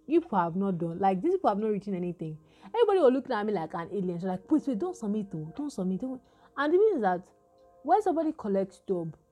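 A young woman with a Nigerian accent and a naturally deep voice, telling a story in casual chat and acting out the lines of the people in it. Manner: animated storytelling with quoted dialogue.

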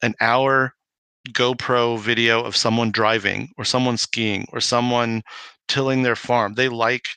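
A man's voice: mid-range voice, fairly even intonation, a little slow, sharp tone, clear, resonant tone, dry recording. A man, a podcast guest, talking casually and anecdotally, rambling as he retells things and acts out bits of dialogue. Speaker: podcast guest, homebrewing video maker. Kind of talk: casual anecdotal interview talk.

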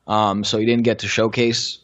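A man giving a measured draft pick on a podcast panel, steady and with some fillers. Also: lowish voice. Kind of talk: casual sports draft chat. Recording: dull lo-fi recording.

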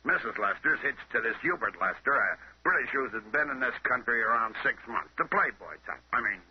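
Man as gruff American police sergeant, slangy, medium-pitched, vintage radio acting, muffled recording with light noise. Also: expressive, a little fast, lightly acted. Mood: matter-of-fact. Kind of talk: 1930s radio mystery acting.

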